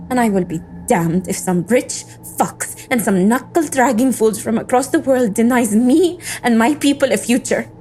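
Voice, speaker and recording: A woman's voice: on the high side, a little mellow, natural voice, low-quality recording. A woman playing an embittered, defiant agent in an audio drama, with a world-weary, intense, sardonic delivery. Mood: defiant resolve. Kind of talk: scripted audio drama monologue and dialogue.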